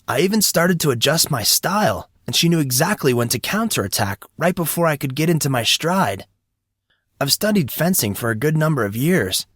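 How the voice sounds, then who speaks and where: A man's voice: a little high, no echo, studio quality, voice pushed up. A man voicing a proud, indignant teenage boy with slangy bro-talk in an audiobook story.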